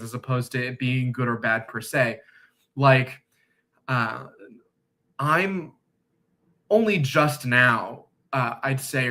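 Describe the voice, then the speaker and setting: A man with a bright, forward voice talks through a question in a casual discussion. He pauses often, fills gaps and restarts his phrases as he works out what he thinks. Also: medium pitch. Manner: halting, thoughtful, many fillers and restarts. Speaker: discussion guest.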